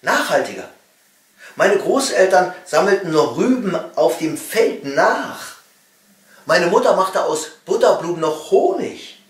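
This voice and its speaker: A middle-aged man from East Germany reading a satirical comedy monologue with wry emphasis and pointed pauses. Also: sits high, sing-song. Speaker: cabaret comedian and author. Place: small home study, indoors. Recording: roomy echo, distant microphone.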